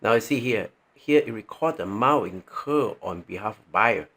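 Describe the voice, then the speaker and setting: A man with a Malaysian accent presents an informal, step-by-step tutorial. His voice sits fairly low and has a pronounced creak. He thinks aloud in a halting way and keeps seeking confirmation as he explains. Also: lively intonation, fairly bright tone. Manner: halting, thinking aloud, frequently checking with "right". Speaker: tax training presenter. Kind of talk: informal tutorial walking through on-screen examples.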